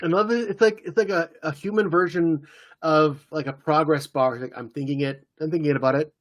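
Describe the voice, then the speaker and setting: A man's voice: fairly high pitch, fairly quick. Man recapping an episode on a podcast. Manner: relaxed recapping, loose playful impressions. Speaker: podcast co-host. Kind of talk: casual chat about a TV episode.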